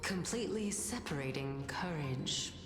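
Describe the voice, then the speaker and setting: Slow, low-voiced solemn heroine. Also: female voice, lively intonation. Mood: grave, questioning. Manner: measured, formal. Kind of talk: dramatic video game voice acting. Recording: long hall reverb under music.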